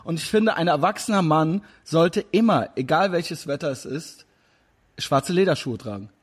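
A man's voice: fairly high pitch, animated, normal speed, husky. A man in his forties interviewing a guest in a casual chat, rambling with many fillers and restarts. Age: 40s. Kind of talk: casual interview over video call.

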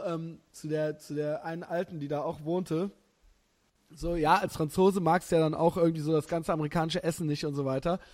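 A German man in his thirties hosting a podcast, chatting casually and telling animated, slangy stories with frequent laughter. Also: on the high side. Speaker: podcast host. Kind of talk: casual chat.

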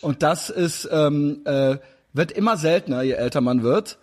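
Husky chatty man in his forties. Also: a little high, normal speed, darkish tone. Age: 40s. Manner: chatty, rambling with many fillers. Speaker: interviewer. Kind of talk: casual interview over video call.

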